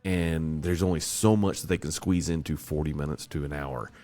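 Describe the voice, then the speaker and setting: A man with a very deep voice hosts a casual podcast chat. He rambles with restarts and upbeat enthusiasm. The sound is dry and close. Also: fairly even intonation, moderate pace, dark tone, no room sound. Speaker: podcast host.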